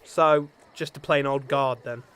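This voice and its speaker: An actor playing a pompous, self-absorbed and easily exasperated knight in a comedic fantasy audio drama. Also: male voice, mid-range voice, fairly even intonation, a little slow, a bit bright, smooth, lightly acted. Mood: curious. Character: pompous, excitable, self-absorbed knight, bossy with his companion.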